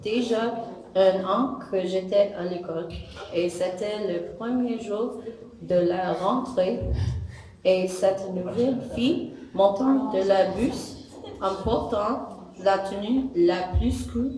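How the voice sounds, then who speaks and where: A woman's voice: mid-range voice, slow pace. A Canadian woman, a native English speaker, giving a jokey, self-deprecating wedding speech as the best friend and switching between French and English. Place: wedding reception hall. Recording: echoey hall, distant mic, crowd.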